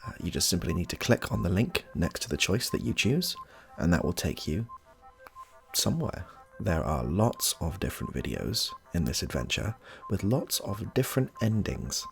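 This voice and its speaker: A British man speaks casually to his audience in a low voice with plenty of fry. His intonation moves around a lot, and his way of talking is informal and self-deprecating. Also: sing-song, moderate pace, soft background music. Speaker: video creator introducing his roleplay video. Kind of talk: casual talk to viewers.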